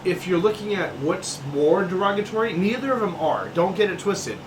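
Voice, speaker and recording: A man's voice: sits high, lively intonation, moderate pace, noisy background. A man co-hosting a casual sports podcast, ranting emphatically and swearing often. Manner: heated, swearing, emphatic rants. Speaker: podcast co-host.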